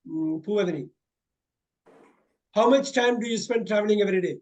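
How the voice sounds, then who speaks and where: A man's voice: sits high, a little fast, a little mellow, highs cut off. A man with an Indian English accent tutoring students through a mock speaking exam, repeating his praise often.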